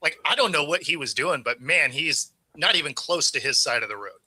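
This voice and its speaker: A man hosts a sports talk panel over a video call microphone, his voice strikingly bright and forward with a slightly high pitch. The sound is very dry and close, with no room around it. He jokes easily and laughs a lot as he chats. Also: lively intonation, brisk, husky, no room sound. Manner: laughs often, easygoing and joking. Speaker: sports show host. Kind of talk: casual panel chat.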